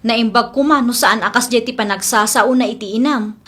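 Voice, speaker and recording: Woman acting a high-voiced gossipy friend. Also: fairly quick, a bit bright, close to an everyday voice. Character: gossipy female friend passing on overheard insults. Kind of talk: comedy drama dialogue.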